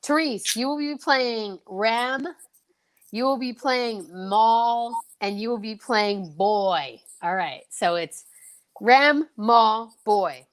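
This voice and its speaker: A woman's voice: a little high, slow pace. A woman hosting a show with informal, teasing announcer patter, hyping things up as she goes. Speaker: host of an online radio-play show. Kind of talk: lively unscripted show hosting.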